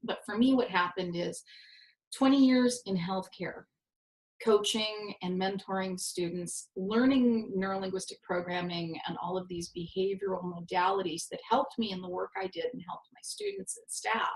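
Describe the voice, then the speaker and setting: A woman and network host from Southern California, telling stories in a self-deprecating, animated way with a somewhat nasal voice. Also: medium pitch, normal speed. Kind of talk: casual conversation. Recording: roomy echo.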